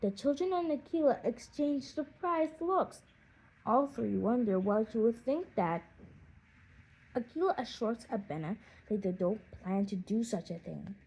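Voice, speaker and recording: A woman reading a children's audiobook in an expressive, animated storytelling manner. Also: on the high side, measured pace, slightly rough, low-quality recording. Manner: animated storytelling with acted character voices. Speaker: audiobook narrator.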